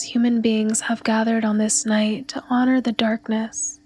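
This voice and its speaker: A woman leads a guided meditation in a soft, breathy voice. She speaks very slowly and soothingly, on a gently high pitch that stays almost completely level. Quiet music plays behind her. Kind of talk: guided yoga nidra meditation narration.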